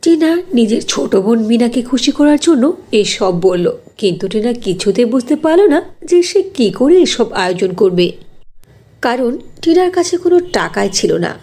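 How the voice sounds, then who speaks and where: A woman's voice: fairly high pitch, sing-song, moderate pace, warm tone, slightly rough. A woman narrator reading a scripted fairy tale in a storytelling style.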